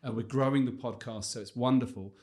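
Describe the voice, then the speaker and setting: A man's voice: medium pitch, fairly even intonation, fast talker, darkish tone. A man hosting a podcast interview, enthusiastic and effusive, often hesitating and restarting his sentences. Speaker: podcast host. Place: studio in Johannesburg.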